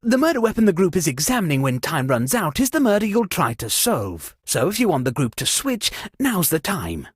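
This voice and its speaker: A woman playing an excitable, melodramatic party hostess who is easily shocked, in a murder-mystery video game. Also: lowish voice, brisk, few pauses, slightly rough, no echo, clean studio sound. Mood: flustered, theatrical. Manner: melodramatic, exclamatory, old-fashioned turns of phrase. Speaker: game host.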